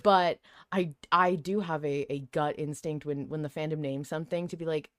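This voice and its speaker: Low-voiced woman podcast host, playfully argumentative. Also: steady tone, normal speed, a bit bright, no echo, clean studio sound. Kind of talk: casual fan podcast chat.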